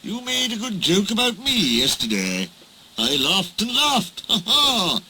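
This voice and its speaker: A man's voice: high voice, huge pitch swings, measured pace, background noise, voice pushed up, exaggerated voice. A man voicing an oily, scheming diesel engine. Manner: scheming, whining.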